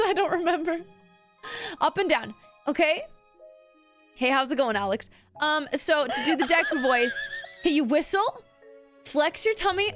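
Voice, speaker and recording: A woman's voice: sits high, fairly bright tone. A young woman streamer, giving a chatty, giggly voice lesson to her chat and swearing casually. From Canada.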